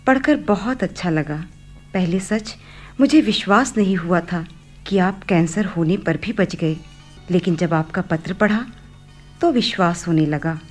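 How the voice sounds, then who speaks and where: Woman acting a slow, tender young daughter. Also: mid-range voice, natural voice. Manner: sincere, halting, gentle. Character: frail, sincere young daughter, hopeful and tender. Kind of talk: Hindi radio play acting.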